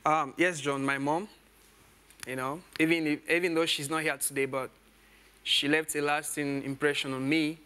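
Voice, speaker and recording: A man's voice: on the high side, steady tone, moderate pace, pushed and bright, clear, resonant tone. A man, a quiz contestant, speaking sincerely and reflectively.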